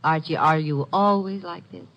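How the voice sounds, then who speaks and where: A woman's voice: on the low side, gravelly. An actress playing a coy, gushing young woman writer in 1950s radio drama style. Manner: coquettish, gushing, hesitant pauses. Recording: dull vintage radio recording.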